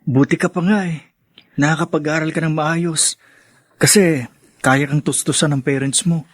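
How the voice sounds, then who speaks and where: Guy acting as poor earnest teen boy, filipino accent, kinda high voice, hesitant n polite, radio drama, dry sound. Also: steady tone, normal speed, no room sound, close to an everyday voice. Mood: earnest, weary. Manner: hesitant, respectful. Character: earnest, struggling teenage boy from a poor family.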